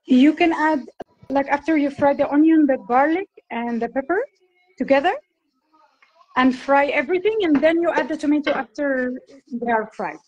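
Woman on a phone video chat, high voice, gentle, explains things step by step. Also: normal speed, dull audio. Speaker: guest cook. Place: indoor room, phone held close. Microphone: phone at arm's length.

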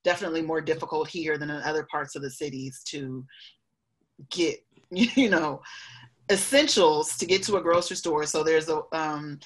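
Woman, lowish pitch, interview guest, reflective, conversational delivery, frequent tag questions. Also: moderate pace. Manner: reflective, often checks with "right?". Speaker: guest, professor and author.

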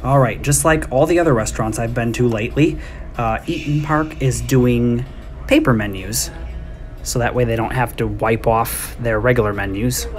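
A man's voice: mid-range voice, normal speed, over music. Chatty man filming on his phone. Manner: chatty, easygoing, thinking aloud with ums. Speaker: vlogger exploring places and reviewing food. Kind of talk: casual walkthrough commentary and food review. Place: outside an empty restaurant, then inside a diner. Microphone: phone camera at arm's length.

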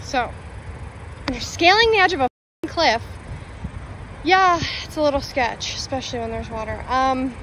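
A woman's voice: high-pitched, normal speed, pauses often, a bit bright, slight background noise, rough recording. A young woman from Canada chatting casually to her phone camera on an outdoor outing, with lots of fillers and giggles. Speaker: vlogger. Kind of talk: casual travel vlog. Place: outdoors by a river in a forest. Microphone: phone held at arm's length.

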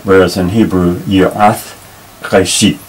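A man teaching a Bible Hebrew lesson at a table, explaining patiently with many pauses and restarts. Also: low-pitched, level tone, a little hiss or hum, rough recording. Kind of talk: unscripted tabletop lesson, explaining while pointing. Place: indoors at a table.

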